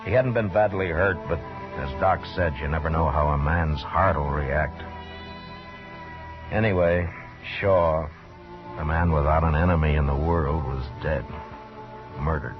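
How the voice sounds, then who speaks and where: An actor playing a steady, wary frontier lawman in a 1950s radio Western, speaking in a laconic, matter-of-fact way. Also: extremely low pitch, a little slow, pauses often, music in the background. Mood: somber narration. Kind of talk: 1950s radio drama acting and first-person narration. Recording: dull, noisy old radio recording.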